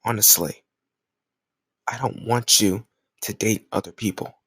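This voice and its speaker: A man's voice: on the low side, steady tone, unhurried, fairly bright tone, husky, dry recording. Man acting a jealous lover, close and dry. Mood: earnest, tender. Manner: emotional, colloquial, insistent. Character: jealous, stubborn young male lover confessing his love. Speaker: audio roleplay voice actor. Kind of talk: intimate scripted romantic audio roleplay.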